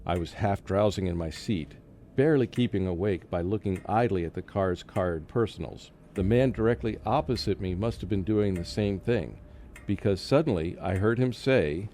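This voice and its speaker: A man's voice: low-pitched, normal speed, warm tone, slight background noise. A man playing a nervous, haunted scholar who narrates a radio drama in dramatic storytelling style. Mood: uneasy, suspenseful. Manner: dramatic first-person storytelling. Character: haunted, nervous male scholar recounting his ordeal.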